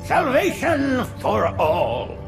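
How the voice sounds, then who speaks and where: Alien high priest, high-pitched, animated, reverent, sermonizing, video game drama, music behind. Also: male voice, very slow, gravelly, exaggerated voice. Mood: reverent, exalted. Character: pious, lofty alien prophet, male high priest.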